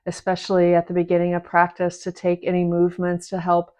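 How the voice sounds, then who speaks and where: A woman teaching a guided meditation in a gentle, unhurried manner, leaving long pauses between short phrases. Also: lowish voice, monotone. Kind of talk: guided meditation, soft instruction.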